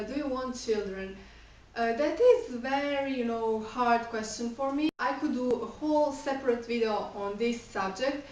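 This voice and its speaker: A woman's voice: fairly high pitch, a little hiss or hum. A woman in her thirties, a non-native English speaker whose first language is Croatian, answering viewer questions in a chatty vlog, with many fillers. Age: 30s. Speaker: vlogger. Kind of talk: casual Q&A vlog. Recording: echoey room, mic a bit far off.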